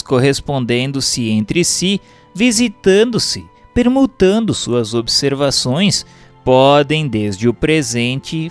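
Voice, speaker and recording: Slow, expressive Brazilian man narrating over music. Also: a little high. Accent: Brazilian Portuguese. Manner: formal, measured, expressive phrasing. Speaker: reader of a Spiritist text. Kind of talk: scripted audiobook-style narration. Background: background music.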